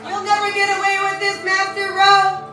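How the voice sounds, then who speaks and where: A woman's voice: very high voice, monotone, moderate pace. A woman playing a plucky, cocky detective heroine in a theatrical old-time radio serial parody. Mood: excited. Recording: echoey, distant, music underneath.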